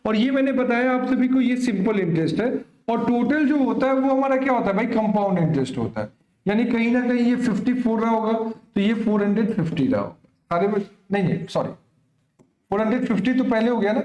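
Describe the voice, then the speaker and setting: Very high-pitched man teaching, echoey room. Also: lively intonation, fairly quick, a little mellow, highs cut off. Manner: repeats phrases, addresses students affectionately, asks rhetorical check questions. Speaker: maths teacher for exam coaching. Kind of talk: online maths lesson, explaining step by step to students.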